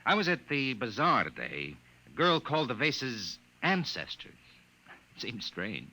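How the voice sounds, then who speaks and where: Expressive, curious young officer. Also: male voice, mid-range voice, unhurried, heavily acted. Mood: inquisitive. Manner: deferential, inquisitive, hesitant in dialogue. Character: polite, curious young junior officer, narrating his story. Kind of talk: 1950s radio acting and dramatic narration. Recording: vintage 1950s radio drama recording.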